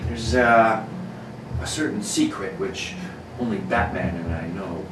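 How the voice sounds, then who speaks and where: A man's voice: on the low side, a little slow, music in the background, lightly acted. A man playing an earnest, determined newspaper reporter with a secret superhero identity, in a 1940s radio serial reading. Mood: urgent. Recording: roomy, noisy, distant stage microphone.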